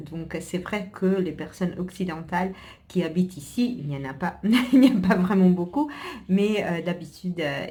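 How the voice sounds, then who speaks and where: A woman's voice: on the low side, mellow. An Italian woman, a native Italian speaker, hosting her own podcast in casual, hesitant chat full of fillers, restarts and small laughs. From Italy. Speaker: podcast host.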